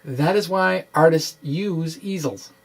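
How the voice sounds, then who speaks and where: A middle-aged man presenting an informal science explainer, with halting, emphatic delivery and wry asides. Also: fairly high pitch, vocal fry, husky. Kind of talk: informal dramatic reading of a physics explainer. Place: small indoor room with bookshelves. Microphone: microphone on stand close in front.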